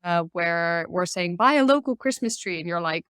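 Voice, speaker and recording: Smooth-voiced woman hosting. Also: fairly low pitch, expressive, normal speed, fairly bright tone, no echo, studio quality. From Finland. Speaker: host. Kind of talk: casual podcast discussion.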